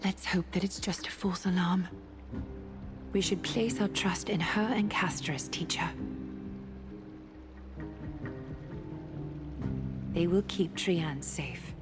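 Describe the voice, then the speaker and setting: An actress playing a solemn, composed noblewoman leader in a video game, formal, measured and reserved. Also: lowish voice, natural voice. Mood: calm concern. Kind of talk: scripted video game voice acting. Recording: loud background music. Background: music.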